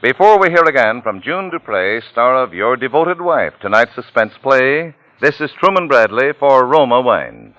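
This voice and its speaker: A man's voice: mid-range voice, animated, normal speed, hardly any pauses, fairly bright tone, dry recording, highs cut off. A man with an American accent reading a commercial in a polished, formal 1940s radio announcer style, on a vintage broadcast recording. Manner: polished, formal sales delivery. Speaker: radio announcer and sponsor spokesman.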